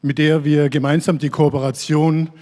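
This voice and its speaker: A man's voice: a little high, flat delivery, normal speed, a little mellow. A man hosting an event, formally introducing the next speaker on stage. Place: large hall. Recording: long hall reverb.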